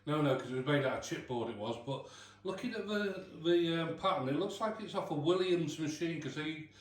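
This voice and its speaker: A British man explaining his restoration work in a halting, matter-of-fact, colloquial way. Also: on the high side, expressive. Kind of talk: casual hands-on explanation. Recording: roomy, off-mic, background hum and noise.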